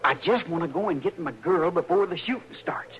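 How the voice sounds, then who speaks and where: A man's voice: on the high side, expressive, fairly quick, natural voice. An actor playing a simple, earnest young outlaw man with plain-spoken, ungrammatical country speech, in an old radio drama. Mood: plaintive. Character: simple, hurt young outlaw man, bitter at his brother. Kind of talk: 1950s radio drama acting. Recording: old radio broadcast, dull and hissy.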